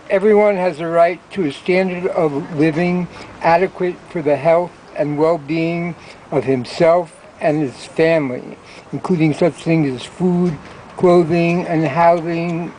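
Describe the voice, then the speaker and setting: A man with a fairly high voice reads formal text in public. He goes slowly, phrase by phrase, outdoors with faint traffic behind him. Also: darkish tone, rough recording. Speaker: public reader at a rally. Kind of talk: reading a declaration aloud. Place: outdoor town plaza.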